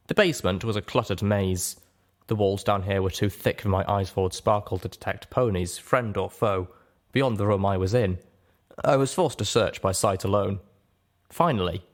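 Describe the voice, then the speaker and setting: A man reading a story aloud as narrator, in dramatic first-person audiobook style. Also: fairly low pitch.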